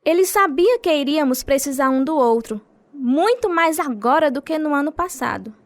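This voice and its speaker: High-voiced grieving, devout mother. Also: animated, moderate pace, no room sound. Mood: sad, devoted. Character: Luba, devout grieving mother and steadfast wife. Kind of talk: radio drama acting and first-person narration.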